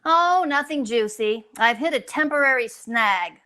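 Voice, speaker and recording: A woman's voice: fairly high pitch, expressive, unhurried, sharp tone. A woman acting a flustered, insistent housewife in an old-time radio comedy. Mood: worried, confiding. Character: flustered, scheming married housewife, earnest and excitable.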